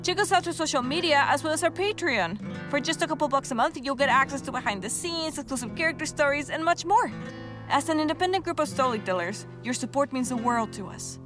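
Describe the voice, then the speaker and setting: A woman's voice: sits high, expressive, fast talker. A woman reading scripted end credits as a podcast announcer, with guitar music behind her. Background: plucked guitar music.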